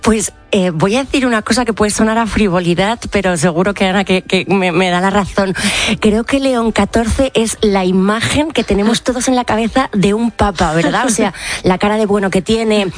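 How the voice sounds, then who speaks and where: Woman pundit, casual talk, stumbles and restarts, mid pitch. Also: normal speed, faint music behind. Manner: chatty, with many restarts. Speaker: radio guest commentator. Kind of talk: live radio panel chat.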